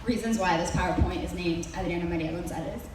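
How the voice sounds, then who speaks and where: A woman's voice: lowish voice, fast, noisy background. Young woman in a very echoey hall. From Mexico. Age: young adult. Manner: hesitant with many ums, wry joking asides. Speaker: student presenter. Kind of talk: senior presentation to a school audience. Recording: very echoey hall recorded from far off.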